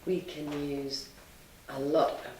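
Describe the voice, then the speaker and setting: A woman in her thirties, a teacher, giving calm, measured answers in an interview. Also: sits low, slight background noise. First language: English. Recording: echoey room, mic at a distance.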